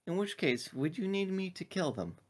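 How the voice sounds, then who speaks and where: A man's voice: high-pitched, animated, moderate pace, pitched above the performer's own voice. A man voicing an earnest, protective female android in formal, precise phrasing for a tabletop sci-fi game. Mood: coolly obedient.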